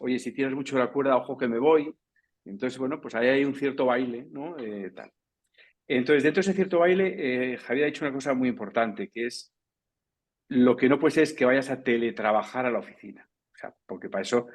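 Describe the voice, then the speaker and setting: A man speaking as a panelist in a professional round-table discussion, talking in a loose, discursive way and often checking in with the listener. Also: mid-range voice. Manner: discursive, tags points with "¿no?" and "¿vale?".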